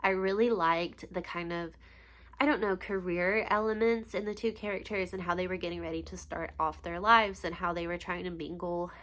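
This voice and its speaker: A woman's voice: fairly low pitch, fairly even intonation, normal speed, fairly bright tone. A young woman who is congested and sniffly, chatting casually and gushing about books she read, with many ums and likes. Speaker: book vlogger. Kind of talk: casual book review chat.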